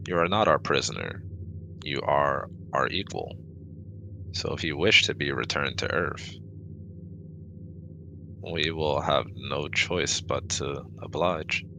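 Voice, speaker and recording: A man's voice: rumbling low, slow, vocal fry, long pauses, bright, forceful tone, a little hiss or hum, full character voice. A man voicing a formal, cautious but kind male alien with precise, stiff wording in a sci-fi ASMR roleplay. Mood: formal, reassuring.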